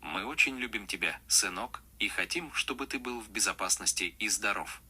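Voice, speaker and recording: A man voicing a tender, protective father in a story, with a husky voice. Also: fairly low pitch, animated, a little fast, pushed and bright, exaggerated voice. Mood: gentle affection. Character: tender, protective Soviet father speaking Russian.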